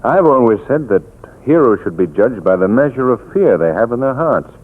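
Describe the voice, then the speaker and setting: An actor playing a suave, thoughtful gentleman telling a tale in a 1940s radio drama. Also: medium pitch, big pitch swings, moderate pace, slightly rough. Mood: reflective. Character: suave, thoughtful gentleman storyteller, calm and worldly. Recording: tinny 1940s radio recording.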